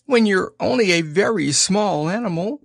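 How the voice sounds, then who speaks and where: Man doing a timid little piglet, high and jumpy, nervous, lively pitch, dry sound. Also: a little slow, vocal fry, no room sound, full character voice. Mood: plaintive. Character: small timid young pig, anxious.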